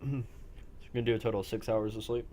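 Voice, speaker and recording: A man's voice: fairly low pitch, little pitch movement, very fast, warm tone, a little hiss or hum. A man running a role-playing game, narrating scenes off the cuff in a matter-of-fact way. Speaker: game master.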